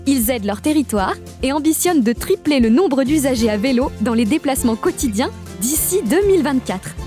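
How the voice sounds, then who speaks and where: A woman from a regional nature park staff, giving upbeat scripted narration for an animated explainer. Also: sits high, soft background music. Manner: upbeat, informative, lists facts briskly.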